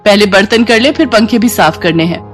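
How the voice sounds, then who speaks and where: A woman's voice: medium pitch, fairly quick, soft background music, close to an everyday voice. A woman voicing a haughty, bossy rich housewife in a storybook tale, curt and impatient with her maid. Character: haughty, scolding rich housewife, female employer.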